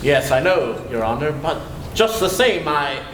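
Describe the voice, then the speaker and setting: A man's voice: a little high, sing-song, unhurried, background noise. An actor playing a nervous, stammering male aide to a judge, in 1940s radio acting style, on an echoey old radio broadcast with music. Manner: hesitant, stammering, deferential.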